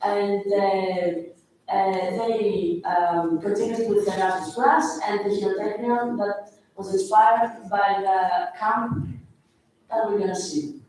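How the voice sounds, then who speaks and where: Teen girl from greece presenting, hesitant, soft mellow tone, mic far off. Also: mid-range voice, fairly even intonation. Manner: hesitant, halting. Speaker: student presenter. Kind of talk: group presentation. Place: large echoey room at a student plenary. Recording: very echoey hall, distant mic, faint noise.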